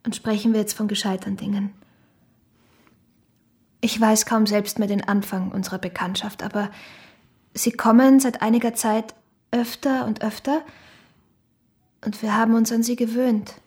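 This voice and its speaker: An actress playing a frank, guarded young shopkeeper's daughter, speaking soberly and admonishingly in a radio play. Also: female voice, on the high side, fairly even intonation, moderate pace, long pauses, darkish tone, lightly acted. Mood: stern, caring.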